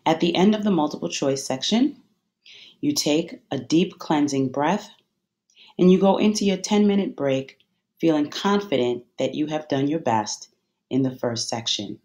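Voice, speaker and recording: Guided meditation narrator, medium pitch, soothing, measured, instructional, deliberate pauses. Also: animated, a little mellow.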